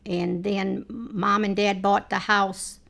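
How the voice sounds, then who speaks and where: A woman in her late seventies, reminiscing in a family oral history chat, rambling with frequent pauses and fillers. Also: medium pitch, little pitch movement, vocal fry, a bit bright. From Texas, United States. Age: 70s. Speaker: interviewee, mother.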